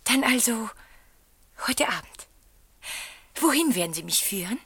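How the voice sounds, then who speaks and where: An actress playing a wounded, emotional wife in a German radio play, dramatic, dropping into hushed tones. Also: female voice, on the high side, expressive, unhurried, husky, no echo, full character voice. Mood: forced gaiety.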